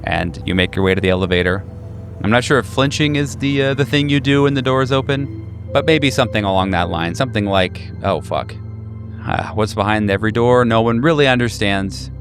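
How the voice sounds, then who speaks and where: A man narrating a tabletop game as game master, improvising in a conversational, halting way. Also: on the low side, music in the background.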